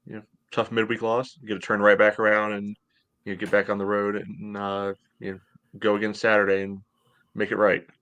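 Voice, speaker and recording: A man's voice: fairly low pitch, completely flat delivery, brisk, a bit bright, highs cut off. A middle-aged American man co-hosting a podcast, casually analyzing sports from home over a video call. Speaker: podcast co-host.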